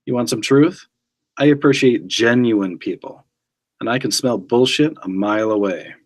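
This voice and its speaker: A man reading the part of a guarded, gruff, suspicious male agent, with blunt, terse, dry retorts. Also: mid-range voice, moderate pace, close to an everyday voice. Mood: stern, blunt.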